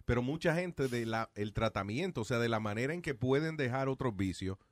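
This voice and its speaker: A man's voice: mid-range voice, lively intonation, a little fast, dry recording. A male radio host chatting with a caller in a joking way, mixing in English and slang. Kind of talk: casual call-in chat.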